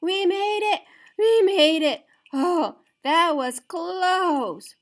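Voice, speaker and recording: A woman voices Excitable little prairie dogs from a storybook. They exclaim in shrill, very high voices that swoop wildly up and down. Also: very slow, full character voice. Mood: relieved.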